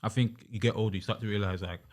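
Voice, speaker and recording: A man's voice: lowish voice, steady tone, fast, darkish tone. A young man, a podcast guest talking earnestly in casual chat, with many restarts and fillers. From Uganda.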